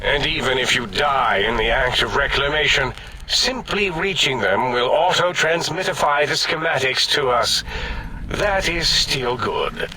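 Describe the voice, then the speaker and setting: Pompous, bright-voiced robot scientist. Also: male voice, mid-range voice, lively intonation, unhurried, slight background noise, low-quality recording. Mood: bossy. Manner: grandiose, pedantic declaiming. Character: pompous, imperious robot scientist brain, cowardly and squeamish. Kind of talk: video game character acting.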